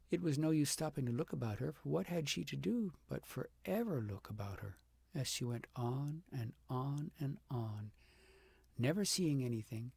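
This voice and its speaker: Male audiobook reader, husky and creaky, dramatic fairy-tale delivery, close dry sound. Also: medium pitch, a little mellow, no echo. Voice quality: gravelly, slightly husky. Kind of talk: expressive storybook narration of a fairy tale.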